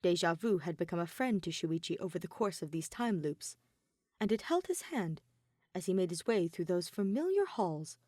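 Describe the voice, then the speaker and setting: Woman reading a fanfic aloud, dramatic n deliberate, really lively pitch, dry recording. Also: no echo. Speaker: podfic reader. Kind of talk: dramatic scripted fan fiction narration.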